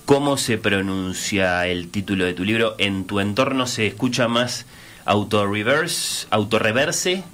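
A man's voice: lowish voice, a little slow, fairly bright tone. A man with a Uruguayan accent hosting a radio interview, hesitant with many filler sounds and restarts.

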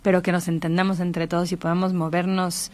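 A woman's voice: fairly low pitch, level tone, fairly quick, a little mellow, a little hiss or hum. A middle-aged Mexican woman speaking as a guest in a reflective literary conversation, articulate and thoughtful. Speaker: interviewee, writer.

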